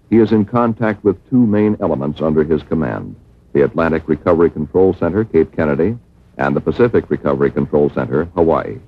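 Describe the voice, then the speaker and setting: A low, husky American male narrator delivers scripted documentary copy with formal, measured phrasing. The sound is muffled and narrow, like a worn 1960s film soundtrack.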